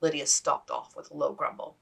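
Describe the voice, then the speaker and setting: A woman narrates a period novel as its author, reading from the page. Her voice is on the low side with plenty of gravelly fry. She sounds a little distant from the microphone. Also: flat delivery, fairly quick, pushed and bright. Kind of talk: scripted audiobook narration.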